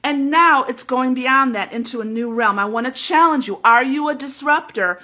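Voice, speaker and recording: Woman spiritual teacher, loud, emphatic monologue, bright forceful tone. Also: high voice, normal speed. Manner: emphatic, fervent, repeats words.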